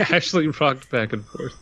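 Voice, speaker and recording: A man's voice: fairly high pitch, huge pitch swings, takes it slowly, sharp tone. A man co-hosting a podcast, reading a story aloud and adding joking remarks. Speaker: podcast co-host. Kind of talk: story reading and casual banter. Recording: faint background noise with clicks.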